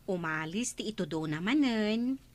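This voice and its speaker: A woman's voice: medium pitch, expressive, normal speed, natural voice. An actress playing a village woman who passes on local news in a matter-of-fact way in a radio comedy drama. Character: village woman reporting gossip about treasure diggers.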